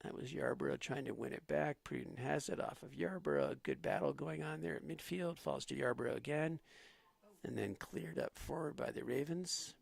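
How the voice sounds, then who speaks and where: A man calling a live school soccer match play by play, steady and running. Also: mid-range voice, normal speed, creaky, no room sound. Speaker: play-by-play commentator.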